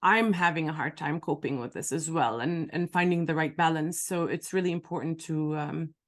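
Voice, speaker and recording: A middle-aged woman from Palestine hosting an interview, asking searching, halting questions. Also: low voice. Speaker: interview host. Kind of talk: conversational interview.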